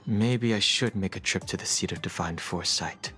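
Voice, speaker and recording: A man playing a serious, guarded young man haunted by his past in a video game, speaking in a measured, reserved way. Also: sits low, pushed and bright, faint music behind. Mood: pensive, guarded.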